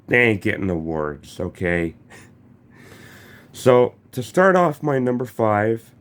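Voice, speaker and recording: A man's voice: fairly low pitch, very animated, takes it slowly. A young man vlogging casually while sick with a cold, his throat congested; he swears freely and stumbles over his words. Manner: swears freely, stammers and restarts. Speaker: gaming YouTuber. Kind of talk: casual vlog commentary.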